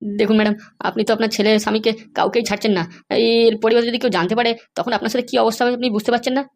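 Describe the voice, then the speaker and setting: A woman's voice: a little high, fairly even intonation, very fast, close to an everyday voice. A woman voicing an anxious, obedient accomplice in a comedy cartoon, speaking in a worried and deferential way. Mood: deferential, questioning. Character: anxious, obedient accomplice serving a scheming madam.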